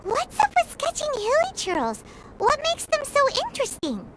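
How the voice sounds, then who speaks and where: A woman voicing a tiny, excitable female fairy companion in a game, with a squeaky childlike voice and an indignant, protesting manner. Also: normal speed, very noisy, heavily acted. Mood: worried. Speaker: companion character. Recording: backing music.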